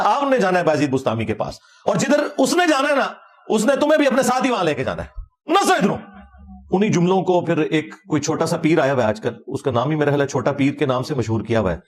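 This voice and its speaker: A man with a Pakistani Punjabi accent giving informal religious commentary in an argumentative, sarcastic, colloquial way. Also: a little high. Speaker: religious lecturer.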